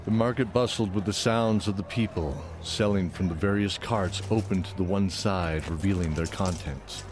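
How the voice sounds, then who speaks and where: Deep-voiced male fantasy narrator. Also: moderate pace, over music. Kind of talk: scripted dramatic fantasy narration.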